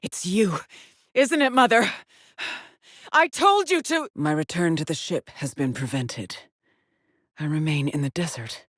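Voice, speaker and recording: A woman's voice: deep voice, normal speed, a little mellow, breathy edge, no echo, clean studio sound. An actress voicing a haunted, determined female astronaut scout in a video game, with terse, introspective, formal phrasing. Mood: tense, defiant. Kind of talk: dramatic video game monologue and log entries.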